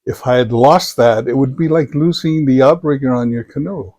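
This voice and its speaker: Older guy, slow thoughtful answers, warm low-key tone, dry sound. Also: no echo. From Samoa. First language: Samoan. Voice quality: measured, thoughtful delivery with frequent fillers. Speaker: interviewee, retired professor. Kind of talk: reflective interview answers.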